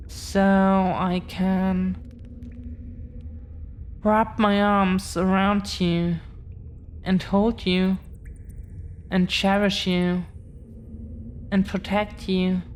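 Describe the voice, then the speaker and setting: A woman playing a protective, affectionate dragon-blooded girlfriend in an intimate audio roleplay, speaking in a halting, earnest way. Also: medium pitch, darkish tone, a little hiss or hum. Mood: tender.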